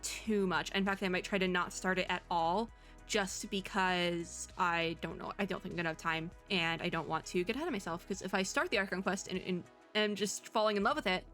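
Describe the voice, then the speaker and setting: Female gaming reactor, bright tone, casual and excitable, giggly rambling delivery over faint music. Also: mid-range voice. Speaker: gaming vlogger reacting to game trailers. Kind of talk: casual reaction commentary to viewers.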